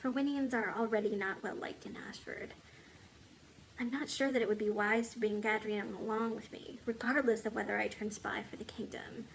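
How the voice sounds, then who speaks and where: Woman voicing an earnest, loyal storybook heroine, raised pitch. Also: steady tone, moderate pace, vocal fry, slight background noise, rough recording. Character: loyal, determined woman, wise old friend of a king. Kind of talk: storybook character dialogue.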